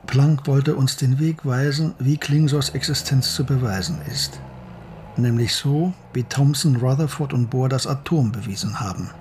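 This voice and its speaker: An actor playing an analytical, thoughtful scholar in a German radio play, husky and explaining in a measured way. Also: male voice, medium pitch, darkish tone, a little hiss or hum, natural voice.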